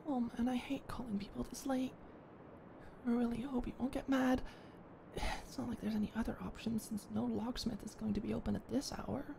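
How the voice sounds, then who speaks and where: A young woman in an ASMR roleplay, talking shyly and stammering as a flustered neighbor, sometimes dropping to a soft whisper. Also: on the high side, flat delivery, brisk, gravelly, background noise. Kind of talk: ASMR roleplay, one-sided dialogue.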